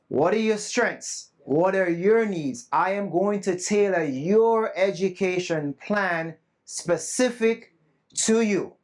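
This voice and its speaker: A man presenting at a live summit, his voice sitting a bit high for a man. He talks earnestly and emphatically to the room and drops in humble, self-deprecating asides. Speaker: conference presenter. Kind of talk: live summit talk to an audience. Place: conference room with a live audience. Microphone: not loud at first, asked to speak louder.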